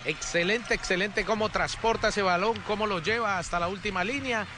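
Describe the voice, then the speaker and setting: A man's voice: high voice, fairly quick, noisy background. A man from Colombia giving co-commentary on a youth football match, analytical and prone to restarts.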